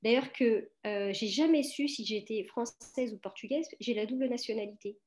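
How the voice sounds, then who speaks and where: A woman's voice: a little high, normal speed, darkish tone. A middle-aged woman talking casually and reflectively, with many fillers and restarts. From France. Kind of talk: casual conversation.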